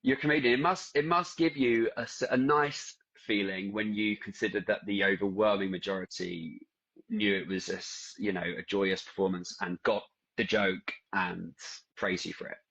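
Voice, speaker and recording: Low-voiced man, dull video call. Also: steady tone, vocal fry, fairly bright tone. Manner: halting, restarts phrases, agreeable. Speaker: interviewer, journalist. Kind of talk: casual interview.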